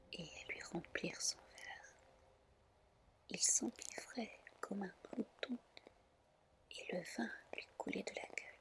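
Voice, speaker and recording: A woman reading a tale aloud in a soft, soothing ASMR style, with deliberate phrasing. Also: mid-range voice. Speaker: storyteller, ASMR reader.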